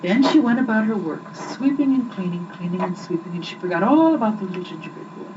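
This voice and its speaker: A young woman reading children's stories aloud in an expressive, rhythmic storytelling style, on an old muffled home recording. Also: mid-range voice, fast. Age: young adult. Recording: muffled, noisy 1980s home recording, distant mic.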